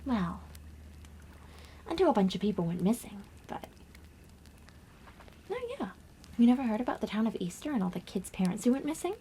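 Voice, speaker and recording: A woman playing a gentle young girl on a camping trip in an ASMR roleplay, speaking softly and breathily with hushed, dramatic pauses. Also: a little high, sing-song, slight background noise. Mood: soft, teasing. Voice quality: soft, breathy whisper. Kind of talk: ASMR roleplay, soft whispered storytelling.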